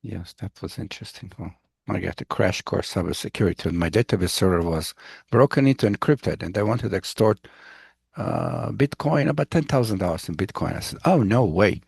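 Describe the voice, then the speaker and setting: A man's voice: sits low, big pitch swings, brisk, vocal fry, a little mellow, husky, no echo, studio quality. A man teaching as a professor on a video call, talking in a rambling, anecdotal way and often restarting his sentences. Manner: rambling, anecdotal, self-interrupting. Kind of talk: online class introductions.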